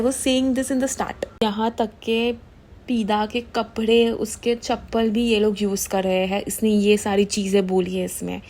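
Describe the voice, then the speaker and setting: A woman's voice: medium pitch, mellow. A young woman hosting a gossip commentary show, chatting casually and switching freely between Hindi and English.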